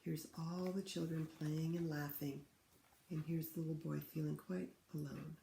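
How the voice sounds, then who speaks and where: Mellow, creaky-voiced woman reading to children. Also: deep voice, measured pace, a little hiss or hum. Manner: expressive, teacherly, asks listeners questions. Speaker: read-aloud host for children. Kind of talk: picture book read-aloud with asides to children. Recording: roomy, echoing room sound.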